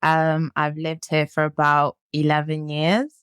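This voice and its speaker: Guest voice: 19-year-old woman from France, native French, lowish pitch, dry close sound, chatty with frequent ums and laughs. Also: completely flat delivery, measured pace, a bit bright, smooth, no room sound. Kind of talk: casual interview chat.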